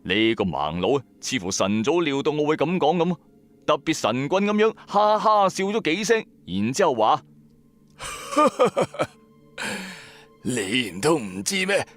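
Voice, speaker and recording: A man's voice: on the high side, very animated, moderate pace, soft background music. A man narrating a horror story in the first person, in a colloquial, suspenseful way.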